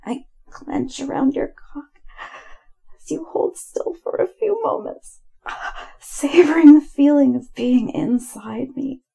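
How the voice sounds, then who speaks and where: A woman narrating adult erotic audio slowly and intimately, with a breathy, husky voice that often drops to a whisper and lingers between sighs. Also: high-pitched, animated, rough recording. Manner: slow, hushed, lingering delivery broken by sighs and moans.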